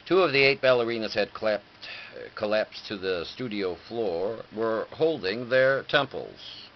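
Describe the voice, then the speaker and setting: A man's voice: on the low side, unhurried. A man reading a story aloud as narrator, occasionally stumbling and correcting himself. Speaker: reader. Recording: dull lo-fi recording with clear background noise.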